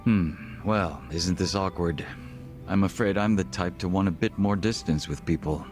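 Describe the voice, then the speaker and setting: An actor playing a suave, guarded gang second-in-command in his thirties for a video game, speaking in a formal, measured, wry way. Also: low voice, darkish tone, soft background music, close to an everyday voice. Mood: guarded, teasing. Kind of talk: English video game voice acting.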